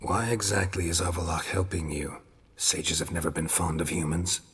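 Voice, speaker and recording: An actor playing a gruff, stoic, weathered monster hunter in a video game, laconic and deadpan, with a gravelly voice. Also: male voice, low voice, moderate pace, long reverb tail, slight background noise. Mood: probing, dry. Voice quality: gravelly, husky. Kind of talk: video game dialogue acting.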